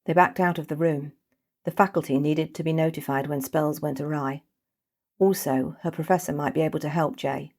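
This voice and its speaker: A woman's voice: low voice, steady tone, normal speed, darkish tone. A woman reading a scripted fantasy short story as its narrator.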